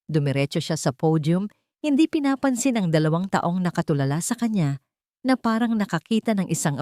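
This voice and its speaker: A woman with a Filipino accent reading a scripted dramatic story in a measured, theatrical way. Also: lowish voice, no room sound, clean studio sound.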